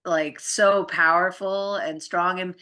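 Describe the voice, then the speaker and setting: A woman's voice: lowish voice, fairly even intonation, slow pace, bright, forceful tone. A woman explaining things in casual conversation, in an earnest, informal, rambling way with frequent check-in phrases.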